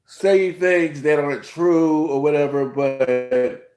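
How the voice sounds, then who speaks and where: A man's voice: on the high side, fairly even intonation, takes it slowly, music in the background. A man in his thirties with a cold, rambling through a casual review with many fillers and restarts. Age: 30s. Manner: rambling, frequent "you know" fillers, restarts. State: has a cold. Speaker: host. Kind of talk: casual reality-TV review vlog.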